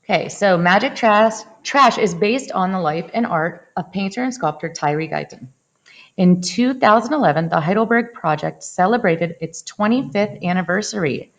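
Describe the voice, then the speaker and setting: Gravelly-edged woman reading a children's story. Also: medium pitch, lively intonation, normal speed. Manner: dramatic, acts out exclamations. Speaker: storyteller reading a picture book aloud. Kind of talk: expressive children's read-aloud.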